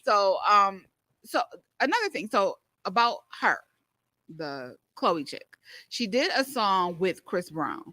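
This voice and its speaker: A woman with a bright, lively voice hosting a casual radio chat. She is talkative and opinionated, and her pitch swings widely as she makes her points. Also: takes it slowly, no room sound. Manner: chatty, opinionated, laughs often. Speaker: radio show host.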